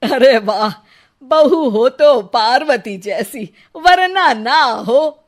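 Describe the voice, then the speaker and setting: A woman's voice: high voice, lively intonation, extremely slow, heavily acted. A woman voicing a nagging, quarrelsome mother-in-law in a cartoon, scolding and sarcastic. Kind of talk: cartoon voice acting.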